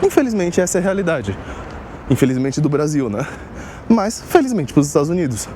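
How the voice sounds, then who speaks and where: A man's voice: fairly high pitch, sing-song, a little fast. A young man from Salvador, casually explaining to his vlog audience in a direct, conversational way. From Salvador, Northeast Brazil. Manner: conversational, direct address with rhetorical asides. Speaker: vlogger on life abroad. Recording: street noise behind.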